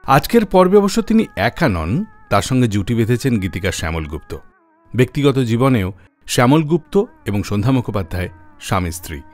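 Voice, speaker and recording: A man's voice: medium pitch, very animated, quick pace, darkish tone. A man presenting a radio music show, narrating stories from a script.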